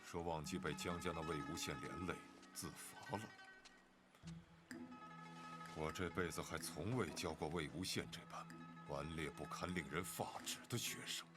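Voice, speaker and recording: Very deep, stern clan elder. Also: male voice, a little fast, music in the background. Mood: exasperated. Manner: severe, formal, disapproving. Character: stern, indignant elder male clan master in animated fantasy. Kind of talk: animated drama dubbing.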